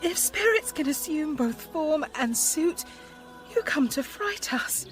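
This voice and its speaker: A woman's voice: high voice, expressive, slow pace, faint music behind. An actress playing a devoted young woman disguised as a boy in a radio Shakespeare drama, speaking verse earnestly and carefully. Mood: stunned wonder. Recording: faint background ambience.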